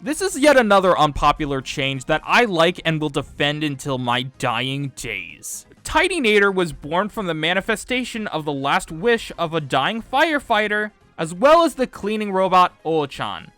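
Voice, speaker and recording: A man's voice: a little high, big pitch swings, normal speed, few pauses, sharp tone, no room sound, soft background music. A man hosting a fan video, delivering scripted explainer commentary with informal, opinionated asides. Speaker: host of a fan video about game name localizations.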